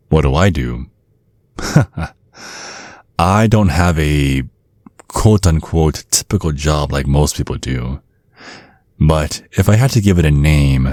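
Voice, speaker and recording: A man's voice: extremely low pitch, a little mellow, dry recording, studio quality, full character voice. A man playing a centuries-old vampire father in an ASMR roleplay, protective and slyly polite, teasing with veiled threats. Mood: probing, sly. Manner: mock-courteous, insinuating, teasing with veiled threats.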